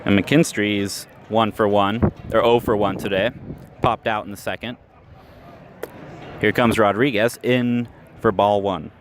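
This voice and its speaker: A man calling baseball play-by-play in radio style, measured between pitches and lifting sharply on big plays. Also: fairly even intonation. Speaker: play-by-play announcer. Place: ballpark stands, outdoors. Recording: live ballpark recording with outdoor noise.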